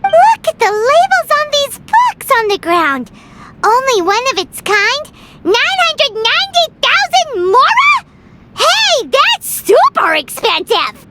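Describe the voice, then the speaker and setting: A woman's voice: very high-pitched, huge pitch swings, takes it slowly, sharp tone, slight background noise, heavily acted. A woman voicing a tiny, chirpy, childlike fairy companion in a video game, wide-eyed and exclamatory. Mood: amazed.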